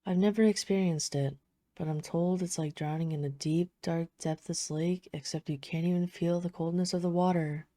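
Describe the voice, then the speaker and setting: A woman playing a poised, flirtatious vampire, speaking softly and teasingly in an intimate audio roleplay. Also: lowish voice, steady tone, moderate pace, no echo, studio quality, lightly acted. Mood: wistful, hushed. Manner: soft-spoken, teasing, smooth formal phrasing. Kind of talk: intimate scripted audio roleplay addressed to the listener.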